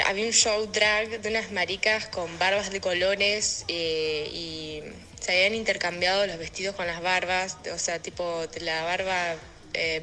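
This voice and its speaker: A woman's voice: fairly low pitch, bright, forceful tone. A woman with an Argentine Rioplatense accent telling a casual personal anecdote in a voice message. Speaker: listener sending a voice message. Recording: noisy voice message with ambient sound.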